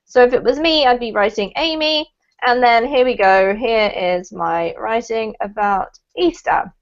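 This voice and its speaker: A young woman with a high, bright-toned voice runs an online English class, upbeat and encouraging. She says "um" often. The sound is dull and lo-fi, as over a video call. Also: a little slow. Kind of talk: friendly online class teaching. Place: indoor room, video call. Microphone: headset headphones on a video call.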